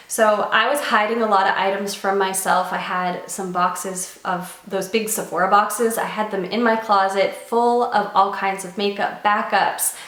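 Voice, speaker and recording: A woman's voice: medium pitch, a bit bright, roomy sound. A young woman vlogging casually to camera, nasal from a cold, chatty and earnest. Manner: chatty and earnest, with light laughs. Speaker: beauty vlogger.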